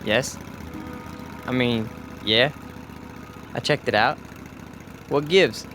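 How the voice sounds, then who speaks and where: Radio drama: awkward young newcomer, unhurried halting delivery, stilted hipster slang, light music bed. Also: male voice, mid-range voice, fairly bright tone. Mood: awkward, puzzled. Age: young adult. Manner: halting, stilted, swinging from professorial wording to beatnik slang. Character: awkward young leather-jacketed newcomer, stiffly faking hip slang. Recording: music bed and engine sounds behind.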